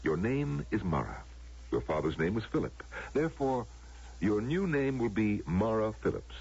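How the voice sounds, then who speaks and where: An actor playing a solemn, knowing man who grants wishes, gravelly and persuasive in a radio drama style. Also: on the low side, big pitch swings, darkish tone, full character voice. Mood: solemn, hypnotic. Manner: measured, grave, persuasive, theatrical. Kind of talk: American radio drama acting. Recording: muffled, lo-fi old radio broadcast.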